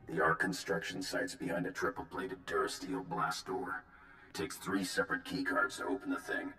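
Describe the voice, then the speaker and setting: An actor playing a gruff, battle-weary military planner in a video game, gravelly and clipped as he briefs. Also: low-pitched, pushed and bright, full character voice. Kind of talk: video game cutscene acting. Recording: echoing sound, music underneath.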